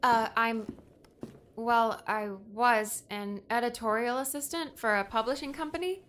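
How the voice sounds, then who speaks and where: A woman's voice: on the high side, unhurried, a bit bright. A woman playing an earnest, hesitant young woman in an audiobook drama. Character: earnest, homesick young woman, eighteen in memories. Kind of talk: audiobook character acting.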